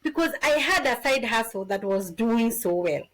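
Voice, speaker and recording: Lively Kenyan woman telling her story. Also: a little high, normal speed. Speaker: podcast guest, business founder. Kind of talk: casual interview storytelling.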